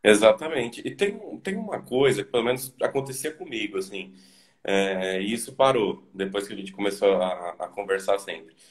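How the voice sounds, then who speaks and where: Young brazilian guy on a webcam call, lowish voice, easygoing, lots of ums and restarts. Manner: relaxed, halting, full of fillers. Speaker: guest, former student. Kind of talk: casual video call chat. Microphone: webcam on a video call.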